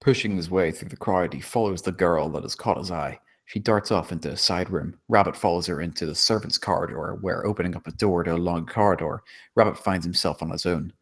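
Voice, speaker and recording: Low-voiced man narrating a script. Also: a little fast, a bit bright, slightly rough. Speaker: narrator reading stage directions.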